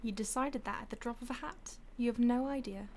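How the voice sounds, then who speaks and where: A woman's voice: on the high side, fairly quick, a little hiss or hum, close to an everyday voice. A woman playing a mocking vampire stalker. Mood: menacing. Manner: teasing, condescending, soft-spoken with whispers. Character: mocking female vampire stalker, predatory and amused. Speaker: audio roleplay voice actor. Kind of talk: audio roleplay acting.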